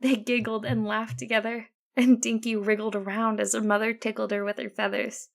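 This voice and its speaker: High-pitched, creaky female audiobook narrator. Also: flat delivery, normal speed. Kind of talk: first-person scripted story narration.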